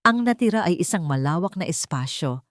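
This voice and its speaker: A woman with a slightly low voice narrates a scripted drama in the first person. The recording is close and dry, as in an audiobook. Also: expressive, a little fast, no room sound.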